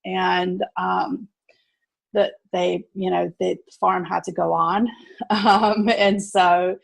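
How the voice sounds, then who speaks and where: American-sounding woman on a podcast, bit of vocal fry, dry close sound, chatty with lots of ums. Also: lowish voice, level tone, takes it slowly, dry recording. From South Africa. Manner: chatty, lots of fillers, laughs easily. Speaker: podcast guest. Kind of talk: casual conversational storytelling.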